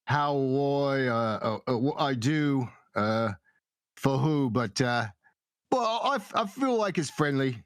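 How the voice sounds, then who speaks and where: A man's voice: medium pitch, lively intonation, takes it slowly, smooth, no room sound, studio quality. Man playing a stammering, chummy tavern bartender. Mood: evasive. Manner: stammering, hedging, deferential. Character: chummy, evasive male bartender serving regular patrons.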